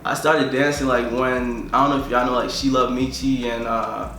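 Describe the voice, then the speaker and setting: A man's voice: medium pitch, flat delivery, normal speed, a bit bright, reverberant, a little hiss or hum, rough recording. A young man from Tanzania, a musician talking casually in an interview and filling nearly every phrase with "like".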